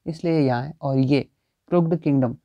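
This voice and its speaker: A man's voice: on the high side, unhurried, dry recording, dull audio. Chatty young man, dry close sound. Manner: chatty, thinking aloud while browsing shelves. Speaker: booktuber. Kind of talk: casual walkthrough vlog. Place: small indoor bookstore with packed shelves.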